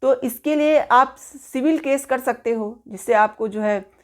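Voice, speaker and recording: A woman's voice: sits high, unhurried. A woman gives an explanatory legal lecture in informal spoken language, teaching in a didactic way, often posing questions and answering them. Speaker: law teacher presenting an online lesson.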